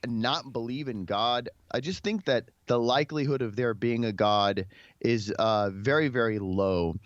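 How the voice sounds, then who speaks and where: A man's voice: medium pitch, a little slow, dry recording. Male, thirties, from Texas. Conversational podcast guest, informal delivery, dry and lo-fi recording. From Dallas, Texas, United States. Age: 30s. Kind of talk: conversational interview, telling personal history.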